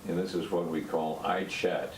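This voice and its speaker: A man's voice: sits low, little pitch movement, moderate pace, background noise. A man in his eighties from upstate New York, speaking unhurriedly in an interview with a few "uh" fillers. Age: 80s. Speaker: interviewee, pianist.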